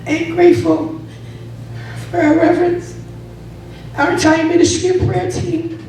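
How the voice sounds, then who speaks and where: A hoarse woman giving an earnest, grateful spoken prayer during a church service. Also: very high voice, flat delivery, measured pace, a little mellow, slight background noise. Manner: earnest, effusive gratitude. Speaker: church member leading a prayer. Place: church sanctuary. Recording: echoey room, distant mic.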